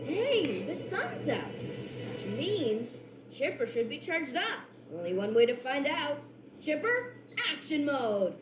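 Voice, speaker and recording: A woman's voice: sits high, sing-song, slow, full character voice. An eager boy park ranger. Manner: eager, upbeat commands. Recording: roomy, distant, loud music behind.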